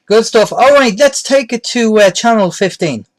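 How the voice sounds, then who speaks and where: Man, somewhat high-pitched, tutorial presenter, plain-spoken, explanatory, instructional delivery. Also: expressive, moderate pace. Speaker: presenter, radio tutorial host.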